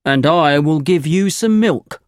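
A firm, no-nonsense farmer out of a children's storybook, acted by a woman. He drives a bargain sternly, in measured, deliberate speech with a dry, close sound. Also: deep voice, lively intonation, no room sound. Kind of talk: children's storybook character reading.

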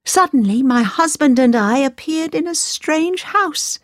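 Woman reading as young princess, frightened, high, lively, dry close sound. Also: unhurried, no echo.

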